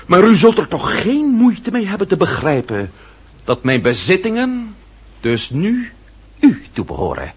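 An actor playing a proud, sardonic French marquis with a formal, mocking delivery in a period radio drama. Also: male voice, sits high, huge pitch swings, a little slow, husky, full character voice. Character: proud French marquis, sardonic, coolly self-possessed nobleman. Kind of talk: radio drama acting in period costume piece.

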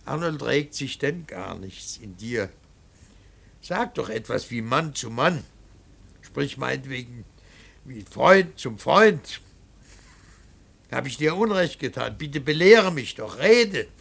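An actor playing a stern, anguished middle-aged father in a radio drama, speaking in a deliberate, halting and emphatic way. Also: mid-range voice, animated, vocal fry, fairly bright tone, exaggerated voice. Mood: desperate pleading. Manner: deliberate, halting, emphatic, swinging from muttered to pleading. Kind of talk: German radio drama acting.

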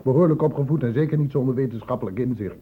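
An actor playing a patient, probing public-health doctor in a radio drama, explaining and questioning insistently. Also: male voice, mid-range voice, rapid-fire, a little hiss or hum, lightly acted. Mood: insistent, lecturing. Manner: insistent, explanatory questioning with short pointed prompts. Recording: dull lo-fi old radio play recording.

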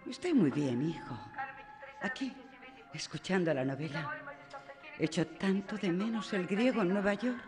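Old ailing mother, insistent, proud, lively intonation, long reverb, radio play. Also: lowish voice, unhurried, pauses often. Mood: affectionate, fussing. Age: older. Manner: fussing, insistent. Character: elderly ailing immigrant mother, proud and stubborn. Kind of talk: radio drama acting. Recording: reverberant room, music underneath.

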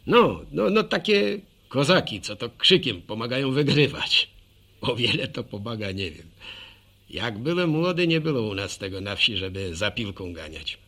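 An actor playing a folksy older village man with a rural Polish accent, telling a wry, rambling radio drama monologue in a gravelly voice. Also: medium pitch, bright, forceful tone, full character voice. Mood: chatty, wry.

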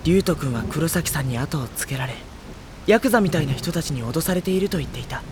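An actor playing a level-headed, politely exasperated teenage schoolboy in an audio drama, both in dialogue and introspective narration. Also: male voice, fairly high pitch, lively intonation, quick pace, noisy background, natural voice. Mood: calm, cautious. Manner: polite speech to his senior, dry retorts. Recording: loud background music under the voice. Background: music.

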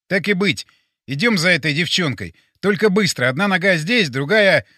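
A man's voice: sits high, animated, moderate pace, bright, forceful tone, no room sound, clean studio sound, heavily acted. A man voicing a reluctant, wary male hero in an audiobook, exasperated and full of questions. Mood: grudging.

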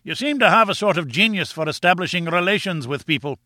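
Man voicing a higher-pitched, kindly old Scottish editor. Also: expressive, quick pace, sharp tone, no echo, studio quality. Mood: musing, encouraging. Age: older. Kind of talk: audiobook character dialogue.

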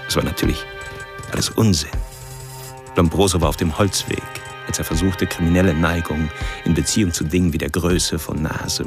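An actor playing a polite, ironic, quietly sinister man confiding a measured, self-righteous monologue in a radio drama. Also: low voice, creaky. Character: polite, ironic, secretly sinister male narrator confiding his thoughts.

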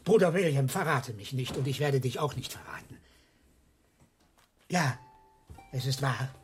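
An actor playing a worldly, guilt-laden monk cellarer, confessional and self-abasing, in a radio drama. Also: male voice, medium pitch, a little slow, soft background music, close to an everyday voice. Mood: wary confession. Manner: confessional, emphatic.

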